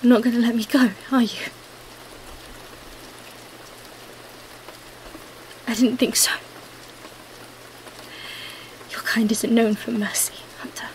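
A woman's voice: a little high, little pitch movement, fairly quick, a little hiss or hum, close to an everyday voice. A woman playing a cunning female vampire who feigns weakness, in an ASMR roleplay. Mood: weary, resigned.

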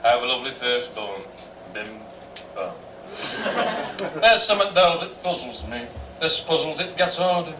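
A man's voice: mid-range voice, lively intonation, measured pace, natural voice. A man playing a brash northern English pantomime father who tells rhymed jokes about his dim daughter in a broad delivery. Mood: brash, jokey. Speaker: amateur pantomime performer. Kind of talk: rhymed pantomime comedy monologue. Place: small indoor bar stage, far camera. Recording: distant, echoey bar-stage camcorder, loud noise.

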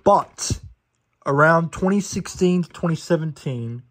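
A young man talks through a DVD review in a casual, chatty way, rattling off facts with fillers and quick self-corrections. His voice sits a little on the high side. It sounds like a phone recording in a small living room. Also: expressive, a bit bright. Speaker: DVD collector reviewing a disc. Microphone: phone camera held over the disc.

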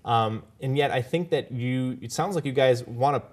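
A man in his thirties hosts a studio talk show. His voice sits at a middle pitch, and he puts questions to guests in a conversational style. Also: moderate pace, a little mellow. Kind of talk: conversational interviewing. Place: small TV studio set, indoors.

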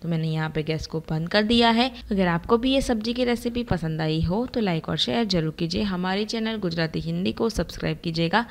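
Woman doing a cooking voiceover, bit on the low side, explains the steps right to the viewer. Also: a little fast, vocal fry, few pauses. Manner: instructional, addresses viewers directly. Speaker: cooking channel host. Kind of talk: step-by-step recipe narration.

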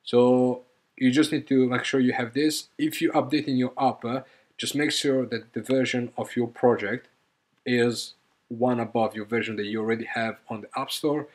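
A man's voice: mid-range voice, fairly even intonation, moderate pace. Man walking through a coding tutorial. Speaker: software tutorial presenter. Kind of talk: informal screen-recorded coding tutorial.

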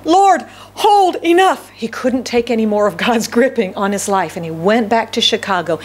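A middle-aged American woman pastor preaching a sermon from a podium in a church hall, with a loud voice, repeating key phrases and asking the congregation questions. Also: a little high, a little hiss or hum. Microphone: podium microphone.

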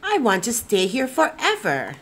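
A contented mother bird from a picture book, as a woman reading aloud puts her on. She speaks gently and sings in a lilting tune, pitched higher than the reader's own voice. The delivery is unhurried. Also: wildly swooping pitch, heavily acted. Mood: pleased.

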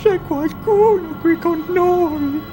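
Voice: extremely high pitch, slow pace, music in the background. An actor voicing a timid, anxious animatronic fox in a parody cartoon, repeating words in nervous bursts. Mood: panicked.

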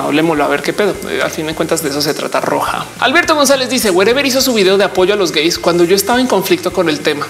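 Woman in her 30s, youtuber chat, kinda reflective and rambly, low voice for a woman. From Colombia. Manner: informal, reflective, digressive. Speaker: YouTuber host answering viewer questions. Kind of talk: casual chat to camera. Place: indoors, small office.

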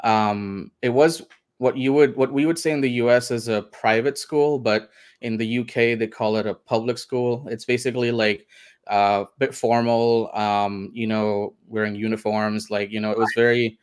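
A man from India, a guest on a video call interview from home, speaking in a relaxed, thoughtful way with lots of hedges. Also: medium pitch, normal speed, smooth. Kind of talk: casual interview.

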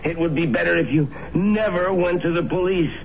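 A man playing a sickly, sly young man turned murderer in a 1970s radio drama, breathless and stammering with gentle menace. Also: high-pitched, fairly quick, creaky. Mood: menacing. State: winded and sickly. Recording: muffled old radio broadcast with hiss.

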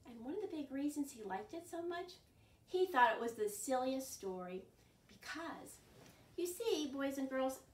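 An older woman with a high-pitched voice talks to small children as a storytime host. Her delivery is slow, animated and clearly enunciated. She is recorded at home from a few feet away, with a roomy, distant sound. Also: slight background noise. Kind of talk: storytime singing and talking to young children. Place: living room at home. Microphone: camera a few feet away.

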